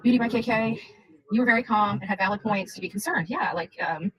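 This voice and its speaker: A woman's voice: mid-range voice, fast talker, fairly bright tone, low-quality recording. A woman chatting casually on a livestream in a blunt, rambling, insistent way.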